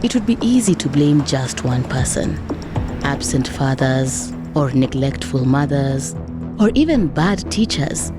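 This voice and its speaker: A woman's voice: extremely low pitch, lively intonation, a little slow. A woman hosting a narrated documentary podcast with dramatic, rhetorical storytelling delivery. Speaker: podcast host. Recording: music behind the voice.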